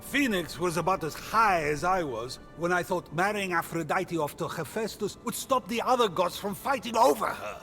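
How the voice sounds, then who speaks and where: A man's voice: sits high, lively intonation, normal speed, vocal fry, few pauses, a bit bright, faint music behind, full character voice. An actor playing a petulant, boastful king of the gods who narrates a video game with flippant, defensive quips.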